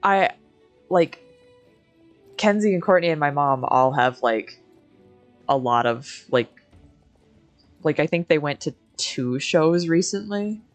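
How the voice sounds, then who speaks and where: Female game streamer, low-voiced, informal and chatty while playing. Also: animated, slow, pauses often, soft background music. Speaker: game livestreamer.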